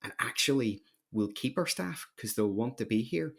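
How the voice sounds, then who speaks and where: A man's voice: medium pitch, expressive, normal speed, gravelly, fairly bright tone, breathy edge. A man with a Northern Irish accent telling his story conversationally and emphatically as a podcast guest. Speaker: podcast guest, company founder.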